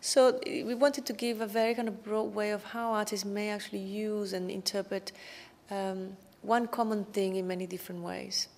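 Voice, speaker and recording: A woman curator who grew up in Italy walks through an exhibition, her voice fairly level and even. She thinks aloud, restarting phrases and filling pauses. The gallery's long reverb carries behind her. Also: medium pitch, creaky. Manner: thoughtful, fluent with many fillers and restarts. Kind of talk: explaining an exhibition in an interview. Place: indoor art gallery exhibition. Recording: reverberant gallery with faint background noise.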